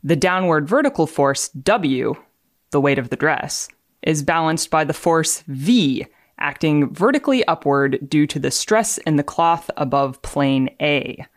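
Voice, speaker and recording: A woman's voice: low-pitched, lively intonation, a little slow, no echo. A woman speaks as a podcast contributor, reading and explaining in a matter-of-fact way. Her delivery is dry, and the recording is close with no room sound.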